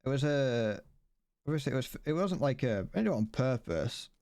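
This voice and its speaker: A man's voice: mid-range voice, sing-song, fairly quick, darkish tone, dry recording. A young man streaming as he builds a model kit, chatting casually, rambling, correcting himself and stumbling over his words.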